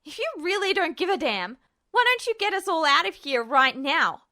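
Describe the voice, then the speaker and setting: Defiant, mocking boy of twelve for audio fiction, played by a woman; high, bright, loud and sweary. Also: sing-song, moderate pace, exaggerated voice. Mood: furious, scornful. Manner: shouting, taunting, sweary.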